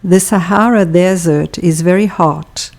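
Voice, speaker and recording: A woman with a Brazilian accent leads a scripted language lesson. She reads each phrase very slowly and deliberately, leaving room for the listener to repeat it. Her voice is mellow and sits a little low. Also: gravelly. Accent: Brazilian Portuguese. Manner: slow, careful bilingual reading with pauses for repetition. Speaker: language teacher.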